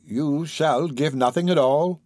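A man voicing a kind, well-bred young lady in an audiobook, tender and measured. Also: a little high, no echo, pitched above the performer's own voice, natural voice. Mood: kindly. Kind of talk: audiobook character voice.

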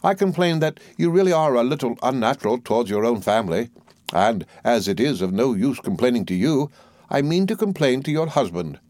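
A man's voice: medium pitch, animated, fairly quick, darkish tone, close to an everyday voice. A man reading aloud, voicing a fussy, garrulous older mother who is pleased with herself. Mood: coaxing. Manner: gushing, emphatic, self-important.

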